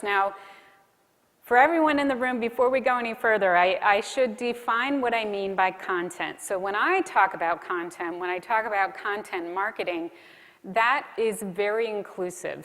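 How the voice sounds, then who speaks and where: A woman speaks as a keynote presenter, with a mid-pitched voice that carries through a big room with long reverb. She explains her points deliberately and sets off key phrases with emphatic pauses. The delivery is that of a prepared conference talk. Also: fairly bright tone. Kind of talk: conference presentation on marketing to a live audience. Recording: reverberant hall sound.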